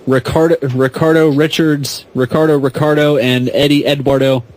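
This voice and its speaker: A man's voice: on the high side, flat delivery, moderate pace, darkish tone, low-quality recording. A man speaking as a podcast guest in a casual, comedic, rambling way. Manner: rambling, jokey.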